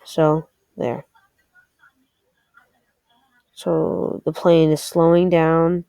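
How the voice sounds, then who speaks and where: Mellow, low-toned woman talking through a game. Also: flat delivery, extremely slow, vocal fry, clear, resonant tone, no room sound. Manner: hesitant, thinking aloud while playing. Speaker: presenter of a game tutorial. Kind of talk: casual screen-recorded game walkthrough commentary.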